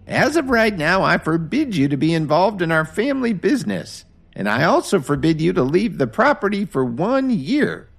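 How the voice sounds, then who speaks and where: A man voicing a stern elderly grandmother who scolds her grandson, high and slow. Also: very animated, creaky, heavily acted.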